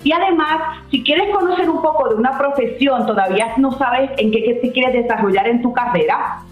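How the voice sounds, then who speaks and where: High-voiced woman giving advice, in a roomy sound. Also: moderate pace, phone-quality sound, faint music behind. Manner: instructive, explanatory. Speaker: guest career adviser. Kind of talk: spoken advice in a live TV interview.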